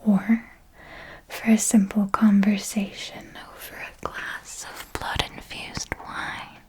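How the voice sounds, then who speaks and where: A woman playing an elegant, seductive vampire mentor in an ASMR roleplay, speaking in a soft breathy whisper with formal, deliberate, intimate phrasing. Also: mid-range voice, flat delivery, natural voice. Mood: hushed, alluring. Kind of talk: ASMR roleplay, scripted monologue.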